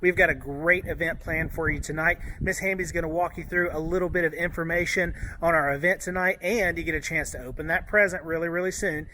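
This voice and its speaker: A man with a high voice hosts a school welcome in an upbeat, informal way. His tone is bright and the recording has clear background noise. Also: fairly even intonation, fairly quick, few pauses, low-quality recording. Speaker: school staff host. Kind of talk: welcome announcement to school families.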